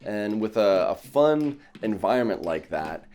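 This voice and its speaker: A man, a music professor, telling a relaxed story in an interview. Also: on the low side, measured pace. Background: faint music.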